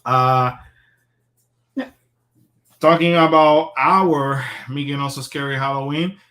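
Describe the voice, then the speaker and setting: A man from Puerto Rico hosts a casual livestream. He talks in a relaxed, chatty way with many fillers, at an ordinary middle pitch. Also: slow pace. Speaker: host.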